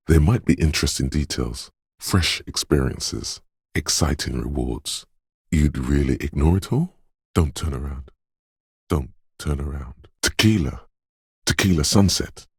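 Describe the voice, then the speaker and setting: A man voicing an eerie, mystical inner voice of intuition in a video game, gravelly, cryptic and ominous, speaking in theatrical second-person narration. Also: a little mellow, no room sound, clean studio sound, heavily acted. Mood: cryptic, insistent. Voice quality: very deep, gravelly rasp.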